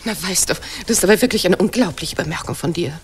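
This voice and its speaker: An actress in a German radio play playing a proud, jealous wife of about forty, theatrical and pointed. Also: female voice, fairly low pitch, fast, a little hiss or hum, natural voice. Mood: indignant.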